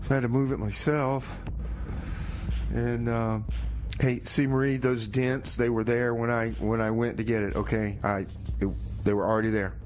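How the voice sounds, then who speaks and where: A man's voice: medium pitch, level tone, normal speed, a little hiss or hum. A man hosting a community radio show with casual, comedic DJ patter, rambling in a folksy way and repeating his phrases.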